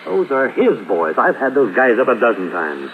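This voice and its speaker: Dry radio detective, lively inflection. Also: male voice, mid-range voice. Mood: pressing, animated. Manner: clipped, unhurried, dry questioning. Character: gruff, wry, steady male police detective lieutenant. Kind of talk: 1950s radio drama acting. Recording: noisy, phone-like vintage radio recording.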